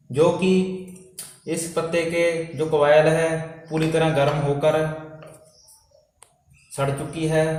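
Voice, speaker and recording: A man presenting an informal hands-on repair tutorial, explaining step by step and repeating himself as he goes. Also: on the high side. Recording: very echoey room, faint background noise.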